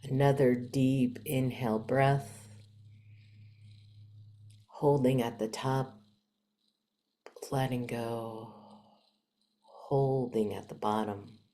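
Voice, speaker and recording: A woman leading a guided meditation in a soft, gentle manner, with long pauses and some breathiness. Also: extremely low pitch, steady tone, slight background noise.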